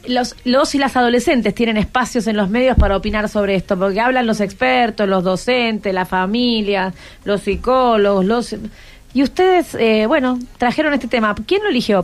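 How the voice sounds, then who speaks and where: A woman with an Argentine accent hosting a casual radio talk from a studio, asking short encouraging questions. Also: a little high. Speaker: radio host.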